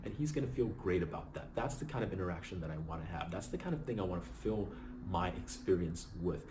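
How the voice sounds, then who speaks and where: A man hosting his own vlog, talking casually and reflectively about gratitude, low on energy and slightly raspy after a long night. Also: fast, background noise. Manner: relaxed, reflective, keeps adding "right?". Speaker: vlog host. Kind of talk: casual motivational vlog talk.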